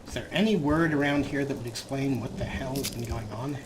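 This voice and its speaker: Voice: mid-range voice, a little fast. A let's play host giving casual, wry game commentary and playfully talking to the characters. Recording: noisy, game ambience behind voice.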